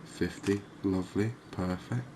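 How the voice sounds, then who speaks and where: A soft-spoken man giving unhurried, soothing ASMR commentary over a video game. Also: low voice, steady tone, very noisy. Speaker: gaming video host.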